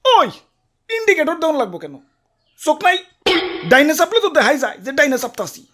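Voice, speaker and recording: A woman's voice: high-pitched, very animated, fast, fairly bright tone. A woman with a Bangladeshi accent voicing a cheeky young auto-rickshaw driver in a comic cartoon, indignant and defensive, with music behind.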